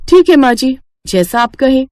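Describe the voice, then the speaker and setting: A woman's voice: high-pitched, lively intonation, normal speed, darkish tone, no room sound, studio quality, voice pushed up. A woman voicing a polite, sensible young daughter-in-law in a moral story, agreeable in manner. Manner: agreeable, deferential.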